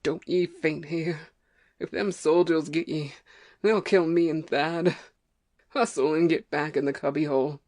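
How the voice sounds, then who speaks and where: Woman acting a cross rural American farm wife, low-pitched. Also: fairly even intonation, unhurried, a little mellow. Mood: urgent, unsympathetic. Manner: folksy dialect, scolding and complaining. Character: weary, ignorant, grudging farm wife, stubborn and cross. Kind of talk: amateur audiobook play acting.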